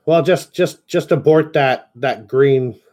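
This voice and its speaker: A man's voice: medium pitch, takes it slowly, darkish tone. A man reading a story draft aloud and giving enthusiastic, chatty feedback, full of fillers. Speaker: editor reviewing a story draft. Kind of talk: casual chat while reading aloud.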